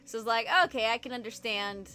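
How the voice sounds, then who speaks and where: A woman's voice: on the high side, flat delivery, sharp tone, smooth. A woman from Puerto Rico hosting a casual reading-sprint live stream from her home computer, chatty and rambling with lots of "like.". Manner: chatty and rambling, fast-talking with frequent "like".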